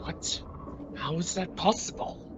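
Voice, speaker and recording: A man playing an excitable, sarcastic astronaut in an amateur radio play, animated and exasperated. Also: on the high side, measured pace, vocal fry. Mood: alarmed sarcasm. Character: excitable, sarcastic astronaut crew member Lee. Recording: dull, noisy home-made radio play recording.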